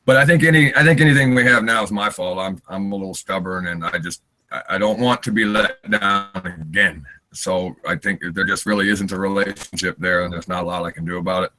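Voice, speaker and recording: Raspy 50 yr old guy, low voice, rambles and laughs a lot. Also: fairly quick, pushed and bright, low-quality recording. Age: 50s. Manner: wandering, candid, laughs often. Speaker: interview guest, singer. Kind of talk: rambling live interview chat.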